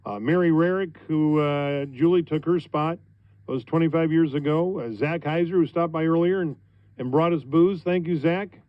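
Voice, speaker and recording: A middle-aged man with an American accent, a radio host talking on air in an informal, conversational, halting way. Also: a little high, no echo, lo-fi sound. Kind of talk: informal on-air thank-you speech. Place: radio studio.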